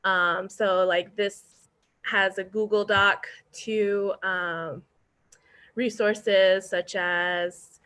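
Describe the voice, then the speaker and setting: Unhurried woman presenting her zine. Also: medium pitch, fairly even intonation, clean tone. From born in Indonesia, raised in upstate New York. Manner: soft-spoken, many ums and uhs. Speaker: zine founder and editor.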